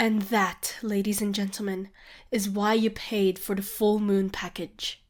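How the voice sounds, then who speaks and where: A woman playing a grandiose showwoman guide in a fantasy audio roleplay, with salesmanlike patter and dramatic pauses. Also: medium pitch, little pitch movement, close to an everyday voice. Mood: smooth, showy.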